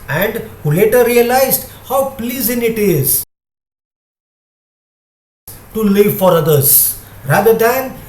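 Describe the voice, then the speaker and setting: Echoey room, a man acting a wise storybook guru, high male voice, solemn, didactic, preaching to disciples. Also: a little mellow, exaggerated voice. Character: wise male guru, earnestly moralising to his students. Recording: echoey room with faint noise.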